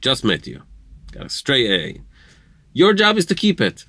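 A man's voice: medium pitch, huge pitch swings, measured pace, fairly bright tone, no echo. An Israeli man talking earnestly on a podcast, in slightly broken English, often laughing. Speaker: podcast guest. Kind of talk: casual conversation.

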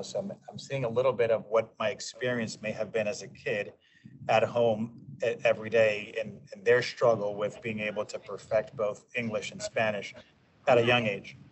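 Interview guest, male, 40s, from New York, measured delivery with restarts, recorded off-mic with faint noise. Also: fairly low pitch, far from the mic, rough recording. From New York, USA. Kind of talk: casual interview.

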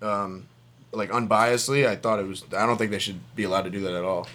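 A man's voice: fairly low pitch, fairly even intonation, quick pace, fairly bright tone. A young American man co-hosting a podcast, arguing his points in casual banter, laughing often and swearing freely. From United States. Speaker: podcast co-host.

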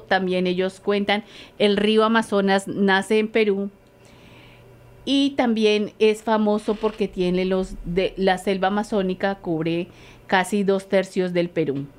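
A woman's voice: medium pitch, clean tone. A woman co-hosting a cultural program, explaining facts with frequent hesitations. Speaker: co-host. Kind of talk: presenting facts.

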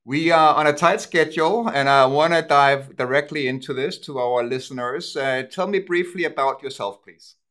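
Man hosting a podcast, brisk and formal. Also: a little high, fairly bright tone. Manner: brisk, formal, slightly scripted. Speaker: host, company CEO.

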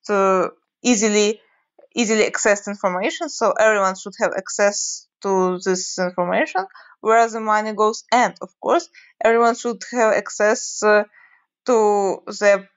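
A young woman from Ukraine with a Ukrainian accent, a native Russian speaker, giving an unscripted monologue with frequent hesitant pauses while she searches for words. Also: mid-range voice. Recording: dull lo-fi recording.

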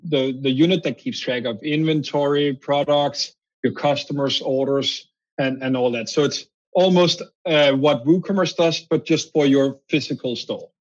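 A man's voice: medium pitch, steady tone, unhurried, lo-fi sound. A man with a Danish accent, a guest on a podcast interview, explaining at length and often restarting his sentences. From Denmark. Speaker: guest, company founder.

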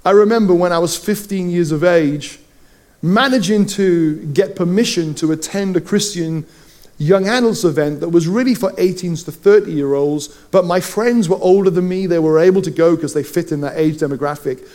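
A man's voice: high voice, moderate pace, a little mellow. A middle-aged Welsh man preaching a sermon, telling personal stories with playful asides. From Wales. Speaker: pastor.

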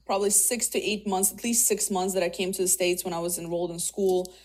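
A woman's voice: fairly low pitch, steady tone, quick pace, a little mellow, low-quality recording. A woman in her thirties from Russia, raised speaking Russian, talking as a guest about her career in a casual interview, chatty with many fillers and restarts.

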